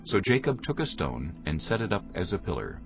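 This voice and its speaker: A man's voice: deep voice, very animated, a little fast, creaky. A man narrating a dramatized scripture reading from a script. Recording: dull lo-fi audio with music behind.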